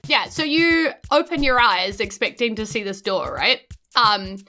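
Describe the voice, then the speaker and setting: A woman's voice: fairly high pitch, expressive, unhurried, bright, forceful tone, faint music behind. A woman with a New Zealand accent narrating a tabletop game as game master in an informal, loosely descriptive way.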